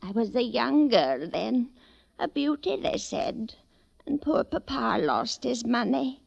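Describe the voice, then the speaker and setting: An actress playing a haughty, ghostly matriarch past eighty, grand and disdainful, in 1940s radio drama style. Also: female voice, on the high side, lively intonation, takes it slowly, creaky, darkish tone, dry recording, highs cut off, heavily acted. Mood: grand, reminiscing. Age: older. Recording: old 1940s radio recording.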